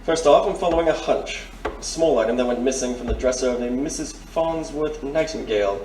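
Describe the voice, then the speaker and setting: A man's voice: medium pitch, fairly quick, a little mellow, close to an everyday voice. A man playing a courteous, shrewd detective major in an audio drama, speaking formally and with polite insinuation. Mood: probing, suave. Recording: roomy, distant mic, faint noise.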